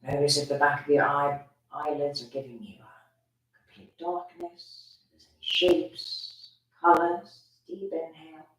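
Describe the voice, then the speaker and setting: Meditation instructor, soothing and unhurried, mid-pitched voice, heard from a distance in a reverberant space. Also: steady tone. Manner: soothing, gentle, drawn-out phrasing with pauses. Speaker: meditation teacher. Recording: very echoey room, distant from mic.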